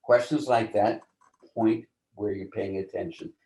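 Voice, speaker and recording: A man explaining ideas as an interview guest in a deliberate, teacherly way, often posing rhetorical questions. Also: fairly low pitch, steady tone, mellow. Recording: roomy, echoing voice.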